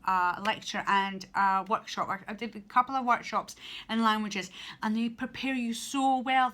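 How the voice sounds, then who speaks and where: A woman's voice: on the high side, pushed and bright. A young Scottish woman, a student teacher, giving casual advice in a vlog with lots of ums and restarts. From Scotland. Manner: chatty, hesitant, many ums and restarts.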